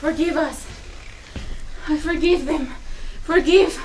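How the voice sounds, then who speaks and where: A woman's voice: high voice, slow pace, darkish tone. A young woman acting a desperate, anguished woman in a dramatic stage reading. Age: young adult. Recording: distant mic, faint noise.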